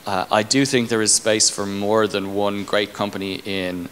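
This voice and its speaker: Low-voiced man in an echoey hall. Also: little pitch movement, normal speed, fairly bright tone. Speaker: tech company engineering leader being interviewed. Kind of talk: conference Q&A, informal explanation. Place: indoor conference stage. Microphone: handheld microphone. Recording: echoey hall, clear background room noise.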